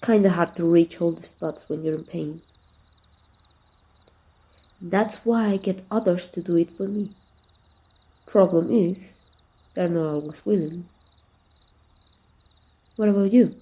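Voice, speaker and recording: A woman's voice: on the low side, warm tone, lightly acted. A woman playing a flirtatious, confident villain who is secretly fond of her rival, in an intimate, teasing ASMR roleplay. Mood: gently reassuring. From Mexico. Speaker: amateur voice actor.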